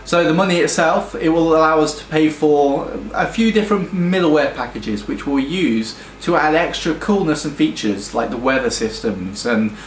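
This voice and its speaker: A British man pitching his game studio's project, talking enthusiastically with frequent ums and restarts. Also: lively intonation, normal speed, hardly any pauses. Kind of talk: earnest crowdfunding pitch. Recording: echoey room with faint music.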